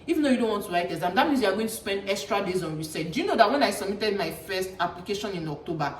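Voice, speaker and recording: A woman's voice: mid-range voice, expressive. A young Nigerian woman, a channel host and graduate student, giving chatty advice and using "like" a lot. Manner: fast, chatty, peppered with "like". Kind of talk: casual advice chat. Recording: roomy echo, distant mic, faint background noise.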